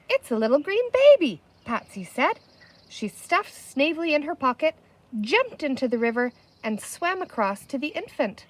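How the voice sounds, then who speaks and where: Higher-voiced woman reading a story aloud. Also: rough recording. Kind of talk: expressive read-aloud narration with character dialogue. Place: outdoors in the open air. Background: birdsong, wind chimes, a barking dog.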